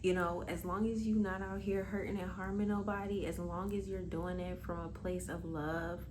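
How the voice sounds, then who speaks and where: A woman's voice: fairly low pitch, level tone, moderate pace, background noise. A woman in her twenties giving a casual motivational monologue, candid and emphatic, swearing freely and calling the listener honey. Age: 20s. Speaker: spiritual vlogger.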